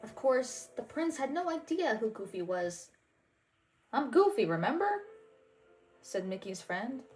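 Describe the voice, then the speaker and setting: A woman's voice: a little high, animated, a little slow, hardly any pauses, a little mellow, faint music behind. A woman narrating a children's storybook as a read-aloud. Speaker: storybook reader.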